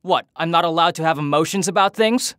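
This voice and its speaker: A man's voice: on the high side, a little fast, a bit bright, smooth, no echo, clean studio sound, lightly acted. An actor playing a hot-headed but protective young man in a visual novel game. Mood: irritated.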